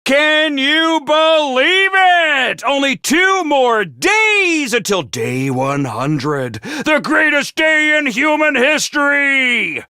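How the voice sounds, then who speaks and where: A man voicing a small, bossy male robot commander in a video game, theatrical and self-important, prone to shouting. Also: sing-song, slow pace, few pauses, no echo, clean studio sound, exaggerated voice. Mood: announcing. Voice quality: squeaky, over-the-top. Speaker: voice actor. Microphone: dry studio mic.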